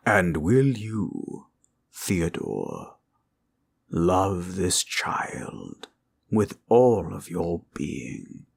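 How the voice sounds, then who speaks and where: A man playing a gentle, protective dragon in a comfort roleplay, speaking formally and tenderly in a solemn, gravelly voice. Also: big pitch swings, extremely slow, full character voice. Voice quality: deep, gravelly. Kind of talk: roleplay comfort audio acting.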